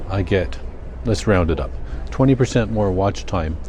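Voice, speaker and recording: An older man with a Canadian accent, chatting casually in a rambling vlog, thinking aloud in a halting, self-correcting way. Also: low-pitched, animated, background noise. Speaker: vlogger.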